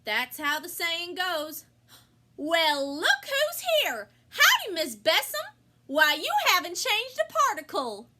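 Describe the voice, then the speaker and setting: A woman's voice: very high voice, big pitch swings, slow pace, noisy background, exaggerated voice. A woman with an American accent playing a chatty, folksy rural farm wife in an amateur play. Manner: folksy, exclamatory country talk. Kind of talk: amateur stage-play acting.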